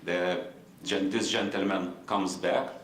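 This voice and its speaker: A low-voiced man with a Lithuanian accent moderates a conference panel in formal, halting English, stopping often on fillers. The room sound is roomy, with a faint hiss underneath. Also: little pitch movement, a bit bright.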